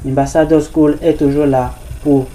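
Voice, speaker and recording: A woman's voice: deep voice, flat delivery, normal speed, mellow, low-quality recording. A woman giving an informal spoken presentation of a service, hesitant, with frequent restarts and filler words. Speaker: presenter of a crypto ambassador training service.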